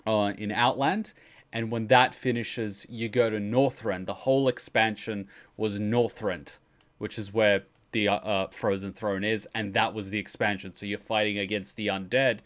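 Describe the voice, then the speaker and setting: Casual, enthusiastic man talking, swearing freely. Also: lowish voice. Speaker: host of a reaction livestream. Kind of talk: casual commentary and chat.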